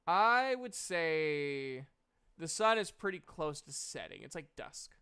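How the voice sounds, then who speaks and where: A man's voice: a little high, very animated, slow pace, pushed and bright, clear, resonant tone. A man acting as game master, casually narrating and bantering with players in a jokey, self-deprecating way.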